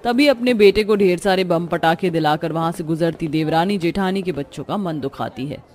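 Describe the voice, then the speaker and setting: A woman's voice: on the low side, moderate pace, a little mellow. A woman narrating a scripted cartoon family story.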